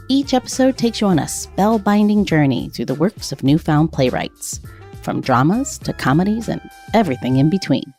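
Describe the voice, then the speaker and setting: A woman delivers scripted podcast credits and promotion. Her medium-pitched voice has a lively, varied melody, with music underneath. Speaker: podcast announcer.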